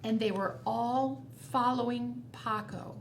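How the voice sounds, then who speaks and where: A woman's voice: on the high side, fairly even intonation, takes it slowly, gravelly. A woman reading a picture book aloud to children as a storytime reader, expressively and with chatty asides. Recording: roomy echo, noticeable background noise.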